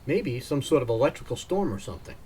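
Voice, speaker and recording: An actor playing an uncertain, speculating suburban neighbour in a radio play. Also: male voice, mid-range voice, sing-song, quick pace, mellow, husky.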